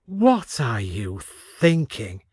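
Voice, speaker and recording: A man's voice: mid-range voice, wildly swooping pitch, very slow, darkish tone, slightly rough, no room sound, clean studio sound, heavily acted. A man voicing a theatrical saleswoman with a coaxing, would-be seductive manner in an audiobook story. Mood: exasperated.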